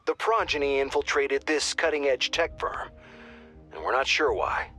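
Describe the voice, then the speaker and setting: A comms officer with a bright, forward tone who relays intel casually and with dry humor. Faint game music plays behind him. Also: male voice, mid-range voice, expressive, normal speed. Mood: informal. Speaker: field support officer on comms.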